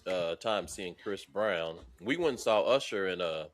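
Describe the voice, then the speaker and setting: Joking low-voiced man podcaster. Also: lively intonation, a little slow. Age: 40s. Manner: joking, playful, profane. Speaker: podcast co-host. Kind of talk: casual chat.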